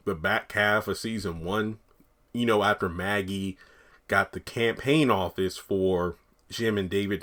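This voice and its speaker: A man with a raspy, just-woken voice rambling casually through his TV commentary and thinking aloud. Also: lowish voice, a bit bright. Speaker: TV commentary YouTuber.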